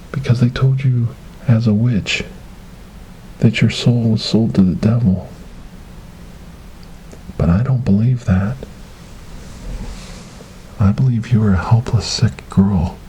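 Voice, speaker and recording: A man playing a gentle, devout rescuer in an ASMR storytelling roleplay, speaking softly and breathily in a soothing, reassuring way. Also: fairly low pitch, slight background noise, close to an everyday voice, rough recording. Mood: earnest, comforting. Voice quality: soft, breathy, whispery. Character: gentle, devout male rescuer coaxing a lost ghost girl.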